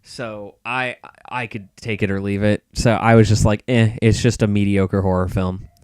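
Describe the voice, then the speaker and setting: Male podcast co-host, smooth clean voice, casual and opinionated, close dry sound. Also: lowish voice, steady tone, moderate pace, a little mellow, no echo. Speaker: podcast co-host and film reviewer. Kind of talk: casual podcast chat about movies.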